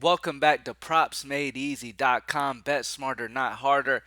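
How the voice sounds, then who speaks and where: A man with a stuffy, nasal voice from allergies, hosting casual sports betting picks, excitable and slangy. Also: mid-range voice, measured pace, no echo, clean studio sound.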